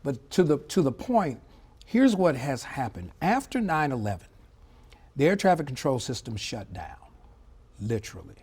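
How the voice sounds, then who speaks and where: A middle-aged man speaking as an expert interview guest, explaining in a measured, emphatic way and often checking in with a short tag question. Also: medium pitch, very animated, darkish tone, breathy edge. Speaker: former air traffic controller, interview guest. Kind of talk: live TV news interview, explanatory commentary.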